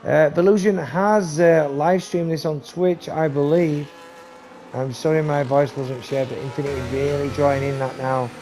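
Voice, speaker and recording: A man giving live commentary on a sim race, talking to viewers and losing his voice, with race car engines loud behind him. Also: moderate pace, music in the background. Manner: excitable, talks to viewers, rising to high bursts on action. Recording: noisy stream with engine sound. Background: race car engine noise.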